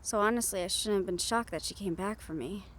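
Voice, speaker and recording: A woman playing a gentle, wistful half-siren, half-banshee who confides softly in an intimate roleplay audio, with reflective pauses. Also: medium pitch, a little hiss or hum, natural voice. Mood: solemn, reflective.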